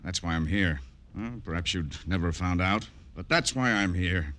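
A man playing a bitter, protective middle-aged father with a formal, measured delivery in an old-time radio drama. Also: sits low, fairly bright tone. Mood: bitter, resolute.

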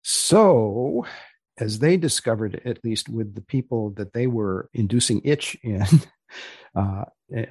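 A man hosting a research podcast in a conversational way, with deliberate, dry-humored phrasing. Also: lowish voice, a little mellow, breathy edge, no room sound. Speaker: podcast host. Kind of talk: conversational interview about research.

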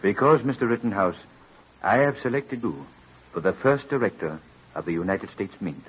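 An actor playing a dignified, earnest president in an old radio drama, speaking formally and persuasively with a husky voice. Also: male voice, on the low side, animated, normal speed. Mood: urgent, persuasive. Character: dignified, earnest American president urging a reluctant man. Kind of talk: 1930s-40s radio drama acting. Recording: dull old radio broadcast recording.

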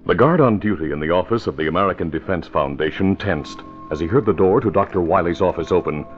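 A man's voice: sits low, fast talker. A man announcing and narrating in 1940s radio style, with emphatic, dramatic delivery. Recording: dull vintage radio recording, faint music.

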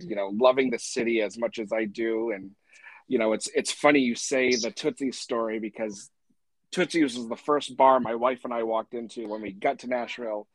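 A man's voice: medium pitch, dry recording, poor audio. Eager man hosting an interview. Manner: enthusiastic, heavy use of "you know". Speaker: interviewer, host. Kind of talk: casual interview chat.

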